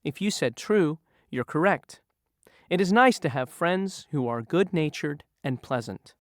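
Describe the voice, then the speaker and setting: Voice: medium pitch, expressive, a little slow, no echo, studio quality. A narrator asking learners review questions about word meanings in a patient, teacherly way. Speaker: quiz reviewer in a vocabulary lesson. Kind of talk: slow scripted quiz questions for learners.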